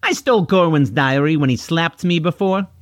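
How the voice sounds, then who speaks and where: A man's voice: a little high, moderate pace, dry recording, clean studio sound, pitched above the performer's own voice, natural voice. A man voicing a bossy, sarcastic girl, blunt and impatient, for a children's audiobook.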